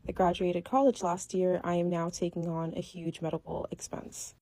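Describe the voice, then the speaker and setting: A woman's voice: fairly low pitch, flat delivery, normal speed, warm tone, dry recording. Woman in her twenties, matter-of-fact vlog. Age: 20s. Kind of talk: direct-to-camera vlog.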